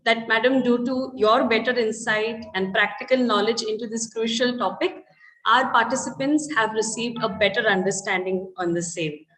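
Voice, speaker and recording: A woman moderator speaking formally and courteously, her voice a touch high. She sounds like she is in an echoey room. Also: a bit bright. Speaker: conference moderator. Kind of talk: formal vote of thanks.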